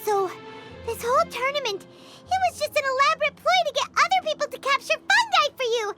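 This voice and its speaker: A little fairy companion for a video game. The voice is extremely high and childlike, with a bright, piercing edge. She exclaims with feisty, excitable indignation over background music. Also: brisk, heavily acted. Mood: indignant.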